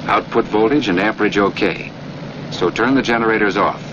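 A man's voice: on the low side, a little fast, natural voice. A man playing a stern, needling flight instructor who narrates a 1940s military training film and addresses the trainee directly. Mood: instructive. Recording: muffled old film soundtrack, clear noise.

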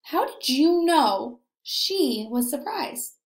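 A young woman teacher leading a read-aloud lesson for young children, animated and encouraging, asking questions and pausing for answers. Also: high-pitched. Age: young adult. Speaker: early elementary teacher. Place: indoor room, echoey. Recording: echoey room.

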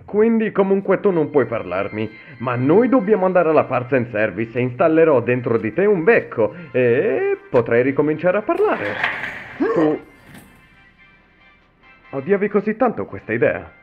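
A man's voice: fairly high pitch, wildly swooping pitch, moderate pace, full character voice. A man voicing an earnest animatronic in a cartoon fan dub, muffled and lo-fi, with faint music.

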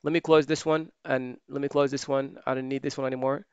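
A man with a smooth, resonant voice talks through a software task step by step, thinking aloud and stopping to fix his own slips. The recording is dry and a little dull, like a desk mic close in a quiet room. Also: mid-range voice, quick pace, dry recording. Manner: relaxed, thinking aloud while typing, many fillers and self-corrections. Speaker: software trainer. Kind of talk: screen-recorded software tutorial.

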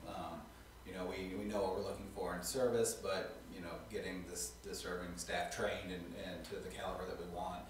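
A middle-aged man, a restaurant co-owner, answering interview questions in a halting way with many fillers. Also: deep voice, level tone, recorded from a distance, a little hiss or hum. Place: small studio on a couch. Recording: echoey room from off mic.

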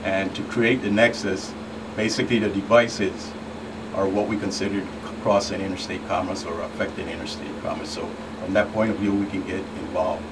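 Middle aged guy explaining stuff on radio, low voice, kinda far from mic. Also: steady tone, moderate pace. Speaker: computer forensic agent guest. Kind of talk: explanatory radio interview answers. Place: small radio studio. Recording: distant mic, clear room hum.

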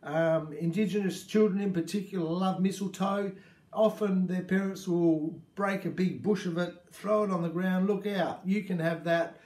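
A man's voice: high-pitched, steady tone, moderate pace. A man in his fifties with an Australian accent, talking casually to camera about native plants, rambling and gesturing, in a small indoor room. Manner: warm, rambling, gestures with hands. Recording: echoey room.

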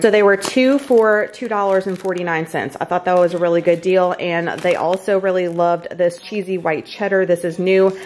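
A woman's voice: fairly low pitch, darkish tone, slight background noise. Chatty woman showing groceries. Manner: chatty, upbeat, addresses viewers as y'all. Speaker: vlogger showing a grocery haul. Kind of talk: casual chat. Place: home kitchen, indoors.

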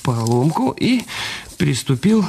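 Husky, cornered husband. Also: male voice, a little high, big pitch swings, darkish tone, heavily acted. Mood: reluctant, dictating. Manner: halting, repeating phrases as he writes. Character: bitter, cornered husband, evasive and grudgingly compliant. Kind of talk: radio drama acting.

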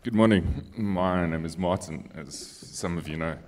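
Deep-voiced middle-aged South African man. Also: unhurried, a little mellow, a little hiss or hum. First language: Afrikaans. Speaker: panelist. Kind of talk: casual personal storytelling on a panel. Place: on stage in a church hall. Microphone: handheld microphone.

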